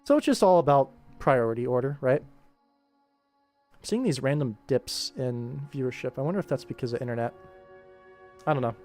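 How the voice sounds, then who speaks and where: A man's voice: medium pitch, animated, moderate pace, pauses often, darkish tone. A man streaming his animation work, thinking aloud casually and rambling to himself as he goes. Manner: rambling, muttering to himself while working. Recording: background music behind voice. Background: game soundtrack music.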